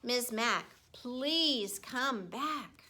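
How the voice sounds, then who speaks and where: A woman's voice: on the high side, animated, drawn-out words, sharp tone, full character voice. A woman reading a children's book aloud, voicing a small, anxious, chatty male pet hamster. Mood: pleading.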